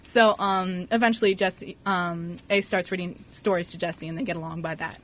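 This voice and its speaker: A woman's voice: lowish voice, steady tone, normal speed, fairly bright tone. A woman co-hosting a radio show in casual, slangy, joking chat. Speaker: radio show co-host and scriptwriter.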